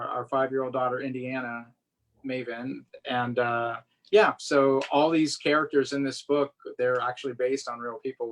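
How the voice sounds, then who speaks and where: An author, perhaps in their thirties or forties, reads their own picture book aloud to children in an animated, expressive way, with asides. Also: mid-range voice, rough recording. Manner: expressive, sing-song on refrains, commenting between lines.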